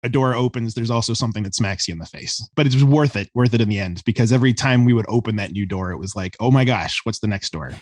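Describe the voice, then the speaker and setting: A man's voice: fairly low pitch, fast, hardly any pauses, a little mellow, no room sound. A man talking casually as a writer being interviewed, explaining how he works. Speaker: writer, guest.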